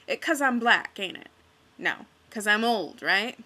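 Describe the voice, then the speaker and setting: A woman's voice: mid-range voice, expressive, a little slow, pushed and bright. Woman putting on a drawling old bluesman. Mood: coaxing. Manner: drawling dialect, coaxing.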